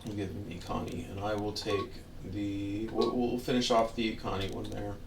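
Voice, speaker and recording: A man with a hoarse, worn-out voice giving rambling, easygoing commentary as a game streamer. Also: lowish voice, flat delivery, normal speed, heavy background noise. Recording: roomy, distant mic under loud music. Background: loud music.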